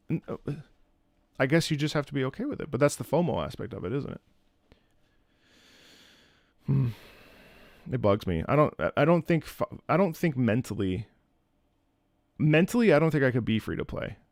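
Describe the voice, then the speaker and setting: A man's voice: medium pitch, no echo. Man streamer rambling in a dry room. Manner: rambling, opinionated, repeats and restarts phrases. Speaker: streamer and content creator. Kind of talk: casual livestream chat with viewers. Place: indoors at home.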